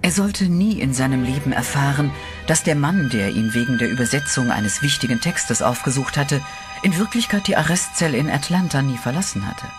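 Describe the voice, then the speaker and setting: A man narrating a horror radio play in a steady, dramatic storytelling voice, with eerie music behind him. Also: mid-range voice, fairly quick.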